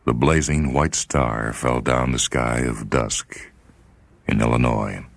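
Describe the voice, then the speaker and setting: Very deep-voiced solemn male story reader. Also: fairly even intonation, takes it slowly, vocal fry, dry recording. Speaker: story reader and programme host. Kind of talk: dramatic scripted narration of science fiction.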